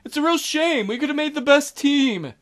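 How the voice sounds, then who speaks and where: A man voicing a smug male villain who mocks his captives in a smooth, gloating way. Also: very high-pitched, lively intonation, moderate pace, a bit bright, soft background music, pitched above the performer's own voice.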